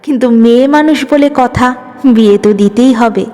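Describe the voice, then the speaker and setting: A woman's voice: fairly high pitch, normal speed, mellow, close to an everyday voice. A woman voicing a long-suffering young wife in an audio story, pleading and reproachful. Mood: hurt. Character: long-suffering young wife, hurt, protective of her father. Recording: long reverb, music underneath.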